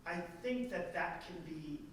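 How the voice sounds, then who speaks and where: A man's voice: fairly high pitch, lively intonation, a little slow, fairly bright tone, a little hiss or hum. A male art history professor talking casually, rambling and restarting his sentences as he answers an audience comment. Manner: rambling, self-interrupting, chatty. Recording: echoey hall, speaker far from the mic.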